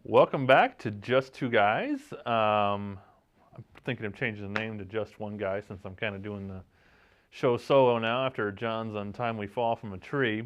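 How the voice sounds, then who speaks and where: A man hosting a comedy show with casual banter, in a hesitant, deadpan manner. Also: on the low side, normal speed. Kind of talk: casual bantering monologue and interview. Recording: dull lo-fi recording.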